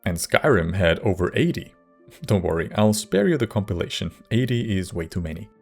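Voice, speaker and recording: A man reading scripted video essay narration in a measured, wry manner. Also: low-pitched, big pitch swings, darkish tone, faint music behind.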